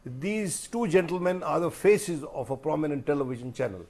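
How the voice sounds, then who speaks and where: High-voiced Indian man, emphatic panelist. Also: animated, normal speed, darkish tone. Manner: emphatic, rhetorical, repeats phrases. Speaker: journalist, panel guest. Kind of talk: panel discussion commentary.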